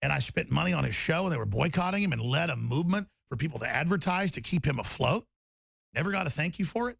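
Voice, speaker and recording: Gravelly, raspy man hosting talk radio. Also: expressive, quick pace, dry recording. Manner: rambling, emphatic, swings between loud bursts and low mutters. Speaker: radio talk show host. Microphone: studio microphone.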